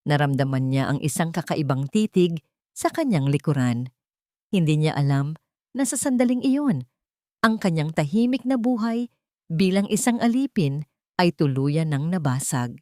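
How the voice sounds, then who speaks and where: A woman's voice: low-pitched, big pitch swings, normal speed, warm tone, dry recording, clean studio sound. A woman narrating a scripted audio drama story in a dramatic storytelling style.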